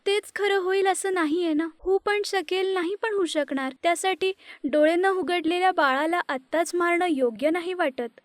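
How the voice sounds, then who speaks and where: A woman's voice: very high voice, normal speed, dry recording, studio quality, pitched above the performer's own voice. A woman doing a tearful pleading young wife.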